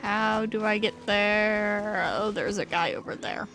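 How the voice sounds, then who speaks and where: Female game streamer, mid-pitched, blunt and offhand casual commentary, clear game music behind. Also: unhurried, a bit bright. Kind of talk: casual live gameplay commentary.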